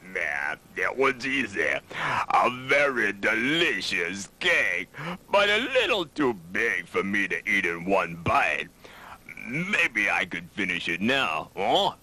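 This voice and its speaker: A man's voice: fairly low pitch, sing-song, slow, bright, forceful tone, full character voice. A man voicing a sleepy, greedy, sheepish alligator in a puppet show, with drawling, folksy swamp talk. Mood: smug, sleepy. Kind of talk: puppet show character acting.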